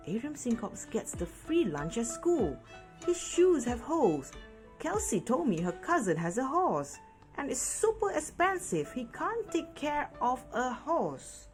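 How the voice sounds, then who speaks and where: Lady playing a judgy little schoolgirl in a storybook, super emphatic, pitch jumps up, faint music. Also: exaggerated voice. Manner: emphatic, judgmental. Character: indignant, opinionated young schoolgirl narrator of a storybook.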